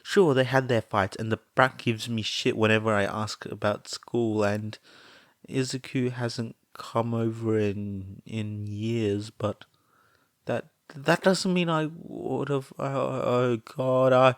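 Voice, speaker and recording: A man voicing a brash, foul-mouthed middle-aged mother who swears and stammers, raised high and emotional. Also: slow pace, no room sound, studio quality, lightly acted. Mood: denying.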